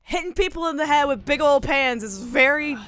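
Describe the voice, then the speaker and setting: A woman's voice: sits high, fairly quick, fairly bright tone. A woman co-hosting a let's play, giving casual, wry commentary with plenty of swearing. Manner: swears freely, wry banter. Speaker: co-host of a game let's play. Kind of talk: casual joking game commentary. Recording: game music faintly behind. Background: faint video game music.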